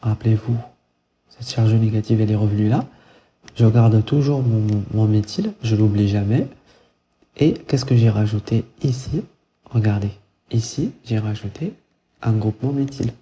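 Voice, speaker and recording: A man lectures on chemistry in a very dark, mellow voice, talking his way through each step with fillers and restarts. The recording is muffled and lo-fi, with a faint hiss underneath. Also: fairly low pitch, moderate pace. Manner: thinks aloud with many fillers and restarts, checks in with "ok?". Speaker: chemistry teacher. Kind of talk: whiteboard lecture.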